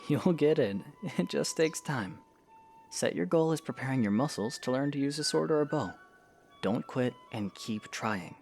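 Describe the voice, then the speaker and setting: A man playing a patient young swordsman hero in an audio drama, coaching in a gravelly, encouraging way. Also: mid-range voice, animated, moderate pace, darkish tone, faint music behind. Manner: patient, instructive, reassuring. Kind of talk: scripted audio drama acting.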